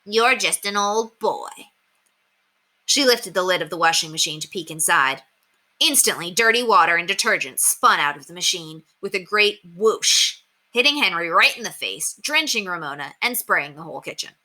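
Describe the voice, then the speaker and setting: A woman narrates a children's book in an expressive, animated read-aloud style. Her voice sits a little low for a woman and has a bright, forceful edge. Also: normal speed. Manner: animated, acts out dialogue.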